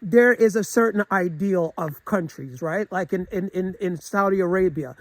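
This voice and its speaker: A man with a fairly high voice talks through his opinions in a loose vlog monologue. He is earnest and rambling, circling back with fillers and false starts. The sound is dry, with no room around it. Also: normal speed, no room sound. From United States. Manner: earnest, rambling, with many restarts and filler phrases. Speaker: travel vlogger.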